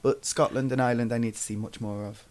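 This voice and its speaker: A man's voice: mid-range voice, steady tone, quick pace, darkish tone, no room sound. A middle-aged man hosting a podcast, interviewing in a casual, conversational way. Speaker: podcast host.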